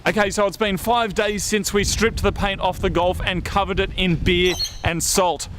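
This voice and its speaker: A man's voice: sits high, flat delivery, normal speed, over music. An Australian man presenting a car show casually to camera in blokey, slangy talk. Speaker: host of a car modification show.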